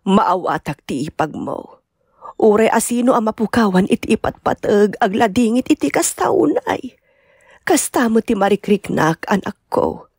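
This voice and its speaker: Woman playing a clear-voiced, sorrowful Ilocano mother. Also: mid-range voice, darkish tone, dry recording, studio quality, exaggerated voice. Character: grieving, caring elderly mother and grandmother. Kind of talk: Ilocano audio drama acting.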